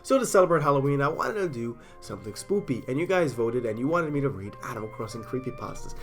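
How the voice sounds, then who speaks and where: A man's voice: mid-range voice, animated, quick pace, faint music behind. A man with a stuffy cold, casually reading horror stories aloud in a chatty, self-deprecating way and sometimes stumbling over words. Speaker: storyteller.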